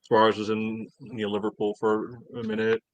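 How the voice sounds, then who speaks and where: A man co-hosting a sports podcast, giving casual analysis in a measured, matter-of-fact way. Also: on the low side, flat delivery, highs cut off. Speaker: podcast co-host.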